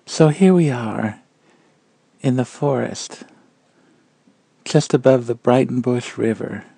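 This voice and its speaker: A man guiding a meditation in a calm, measured and gentle manner, leaving long pauses between phrases. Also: medium pitch, creaky, husky, dry recording. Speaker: meditation guide. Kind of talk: guided meditation narration.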